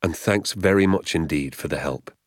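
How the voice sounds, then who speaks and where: A man's voice: extremely low pitch, normal speed, no echo, clean studio sound. A man voicing a polite, curious village father with a British accent. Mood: grateful. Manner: polite, questioning.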